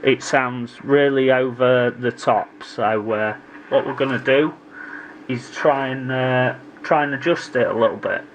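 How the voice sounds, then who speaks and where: A man's voice: mid-range voice, unhurried, slight background noise, low-quality recording. A man giving a casual hands-on repair tutorial, informally addressing viewers and thinking aloud as he works. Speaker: repair hobbyist presenting his own video.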